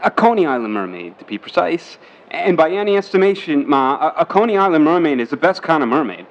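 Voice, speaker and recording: A man playing an eager, lovestruck young son in a 1950s New York audio drama, boyish and halting in his excitement. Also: a little high, highs cut off. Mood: proud, smitten. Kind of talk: vintage-set audio drama acting.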